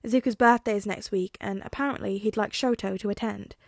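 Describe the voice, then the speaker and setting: A woman voicing a calm, dry-witted father who speaks in a measured way. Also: mid-range voice, vocal fry, no echo. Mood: wry. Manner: wry, measured.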